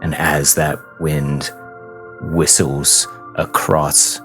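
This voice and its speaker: A man narrating a tabletop fantasy game as game master, deliberate and portentous, with long dramatic pauses. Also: a bass voice, flat delivery, music in the background.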